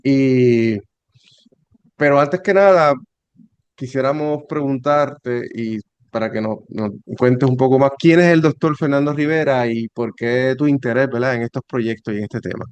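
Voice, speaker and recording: A man's voice: mid-range voice, measured pace, darkish tone, clear, resonant tone, dry recording, muffled recording. A man hosting an interview podcast, friendly and a little hesitant, with fillers. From Puerto Rico. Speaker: podcast host. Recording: dry studio sound with faint music.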